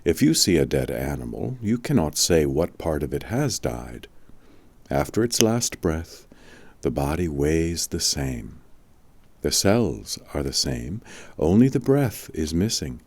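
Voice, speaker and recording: Wise old male wizard read by a man, very low dry voice, patient and philosophical, storybook mentor. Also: sing-song, darkish tone, no room sound, full character voice. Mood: gentle, instructive. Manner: measured, philosophical teaching. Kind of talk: audiobook storytelling.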